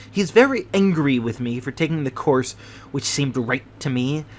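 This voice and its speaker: A fussy, nervous gentleman with refined airs, as a male reader puts him on. He speaks in a prim, precious, fretful manner, in a slightly high voice. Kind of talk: audiobook character voice.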